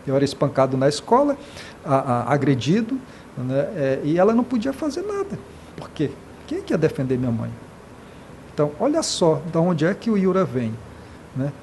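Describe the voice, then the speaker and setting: Impassioned Brazilian man, halting and earnest. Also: on the high side, big pitch swings, a little mellow, a little hiss or hum. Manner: impassioned, many hesitations and repeated "né". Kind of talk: earnest interview talk.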